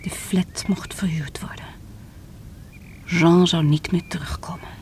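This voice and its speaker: An actress playing a distraught, lovelorn divorced woman who confesses bitterly in a theatrical, often hushed and breathy radio-drama delivery. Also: lowish voice, steady tone, measured pace, in a whisper, natural voice. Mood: anguished, hushed. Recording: noisy vintage radio play recording.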